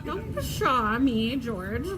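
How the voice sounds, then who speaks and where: A woman's voice: on the high side, sing-song, drawn-out words, full character voice. A woman playing a sardonic, exasperated wife in her forties in a stage comedy, with dry, pointed teasing. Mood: scolding, sardonic. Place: theatre stage. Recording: noisy live theatre stage recording.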